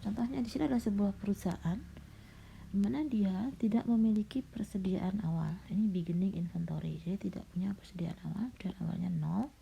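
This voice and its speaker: A middle-aged woman lecturer, slightly hoarse and coughing, patiently walking students through a recorded slide lecture with frequent fillers. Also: mid-range voice, fairly quick, dark tone. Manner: patient, explanatory, with frequent "nah" and "ya". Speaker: university lecturer. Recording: dull lo-fi recording.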